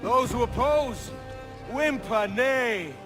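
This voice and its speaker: A man's voice: extremely high pitch, animated, slow, music in the background, exaggerated voice. A man playing a brash, roguish pirate captain in a video game, with a theatrical, swaggering delivery. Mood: rousing, mock-grand. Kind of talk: video game cutscene acting.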